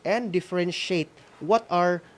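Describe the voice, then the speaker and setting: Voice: mid-range voice, a little slow. A Filipino radio-school co-host speaking casually and hesitantly, giving reminders to participants and often tagging phrases with "no?". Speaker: co-host of a school-on-the-air program.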